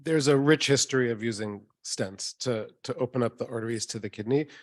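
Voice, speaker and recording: A man doctor on a panel answering a question in a measured, clinical way. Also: medium pitch.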